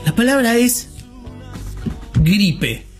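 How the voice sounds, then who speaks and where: A man's voice: very high voice, sing-song, drawn-out words, soft background music. A man with an Argentine accent hosting a radio chat, asking playful, teasing questions. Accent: Argentine Spanish. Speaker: radio host.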